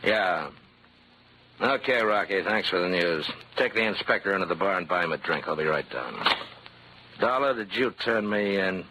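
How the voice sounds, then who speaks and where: An actor playing a cool, tough nightclub boss with an American accent, curt and hard-boiled, in a 1940s radio crime drama. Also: male voice, low voice, animated, normal speed. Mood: cool, dry. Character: cool, tough nightclub boss under suspicion, adult man. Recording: noisy vintage 1940s radio recording.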